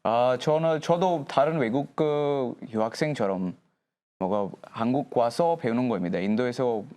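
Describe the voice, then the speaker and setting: A man's voice: medium pitch, a little mellow. Young man. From India. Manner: slow, searching non-native speech with restarts. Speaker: guest, taekwondo athlete. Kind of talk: casual chat on a TV talk show.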